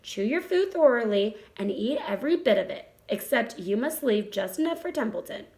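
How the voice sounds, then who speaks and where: A woman voicing a motherly female spider in a storybook, cool and collected, comforting and gently firm as she gives measured advice. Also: a little high, animated, vocal fry.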